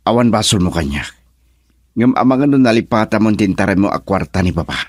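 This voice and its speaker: Deep-voiced, aggrieved husband. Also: male voice, animated, brisk, no room sound, clean studio sound. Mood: reproachful. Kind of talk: radio drama acting.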